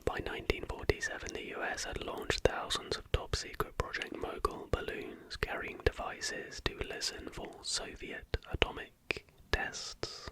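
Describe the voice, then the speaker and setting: An ASMR host speaks entirely in a soft whisper. The delivery is slow and unhurried, reading out material as a presenter would for relaxed listening. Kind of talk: ASMR, softly whispered reading of facts with casual asides.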